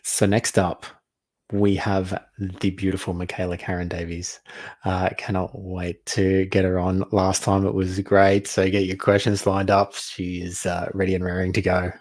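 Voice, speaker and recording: A man's voice: low voice, monotone, moderate pace, darkish tone. A man casually hosting a live online event, speaking in an affable, somewhat hesitant and informal way. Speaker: summit host. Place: online video call.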